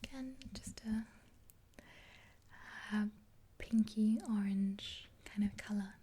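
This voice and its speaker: A woman in her twenties doing an ASMR roleplay in a gentle, unhurried, breathy whisper, reassuring and close to the mic. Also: medium pitch, fairly even intonation. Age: 20s. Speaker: ASMR performer playing a makeup helper. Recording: hissy close-up with clicks.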